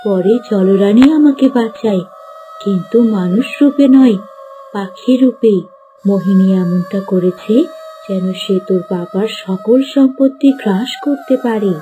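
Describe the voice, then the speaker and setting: A woman's voice: mid-range voice, unhurried, dark tone, soft background music, lightly acted. A woman voicing a wronged, protective mother turned into a bird, speaking earnestly and dramatically in a fairy-tale cartoon. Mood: sorrowful, determined.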